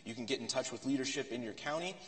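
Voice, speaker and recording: A man on a panel speaks informally and hesitantly, filling pauses as he finishes an update before opening it up to questions. He sounds far from the microphone, with a long hall reverb. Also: medium pitch, a bit bright. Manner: informal, hesitant, many fillers. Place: lecture hall.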